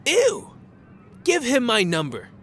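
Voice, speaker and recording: A man playing a defensive high school football player in an audio drama, sarcastic and easily indignant. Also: wildly swooping pitch, slow pace, fairly bright tone, a little hiss or hum, heavily acted.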